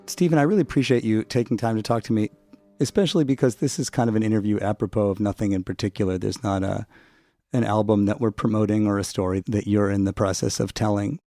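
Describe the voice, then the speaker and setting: Gravelly low-voiced male interviewer. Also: steady tone, quick pace, mellow, no echo, soft background music. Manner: thoughtful, probing questions. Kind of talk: radio interview.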